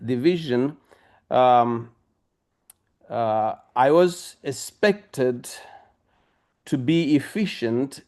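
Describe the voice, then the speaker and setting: Man, Romanian accent, middle-aged pastor, slow halting delivery, mid pitch. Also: darkish tone. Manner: halting, many fillers and restarts. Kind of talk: audience question at a discussion.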